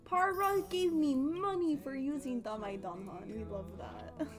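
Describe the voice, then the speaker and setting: A woman's voice: high voice, measured pace, over music. A woman live streaming a video game, giving casual, playful, slangy commentary. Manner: playful, slangy, reading game text aloud.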